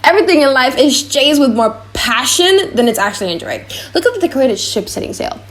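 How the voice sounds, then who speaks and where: A woman playing a witty young gentleman in an audiobook drama, theatrical and mocking. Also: high voice, lively intonation, brisk, voice pushed up. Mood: amused, theatrical.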